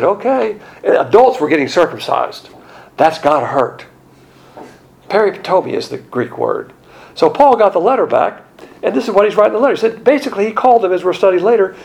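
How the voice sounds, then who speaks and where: A middle-aged male pastor delivering a sermon in a gravelly, somewhat high voice. He preaches in an animated, conversational way, full of colloquial asides.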